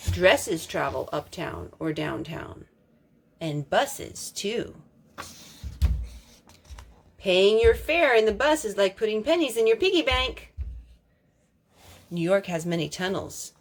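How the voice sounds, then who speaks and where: A woman reading a picture book aloud as a storytime host, expressive, drawing out words for emphasis. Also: mid-range voice, creaky, a little hiss or hum. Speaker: storytime reader.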